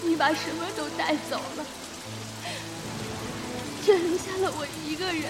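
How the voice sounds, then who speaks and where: A woman's voice: sits high, steady tone, normal speed, lightly acted. A woman playing an anguished young person mourning a secret love in an emotional audio drama, raw and impassioned. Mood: anguished, furious. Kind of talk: emotional modern Chinese audio drama acting. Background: loud music.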